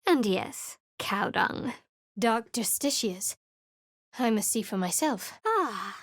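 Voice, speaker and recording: A guarded female cleric, wry and cool, slightly high voice, dry studio read. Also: lively intonation, slow, no echo. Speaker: game character. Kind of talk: video game voice acting. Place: studio booth. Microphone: studio mic.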